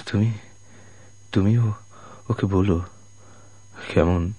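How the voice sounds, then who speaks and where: An actor playing a broken, dying sailor who has returned home, gentle and self-sacrificing, in tragic radio drama style with halting, pleading, emotional delivery. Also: male voice, fairly low pitch, fairly even intonation, slightly rough, no room sound, exaggerated voice. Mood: dying plea.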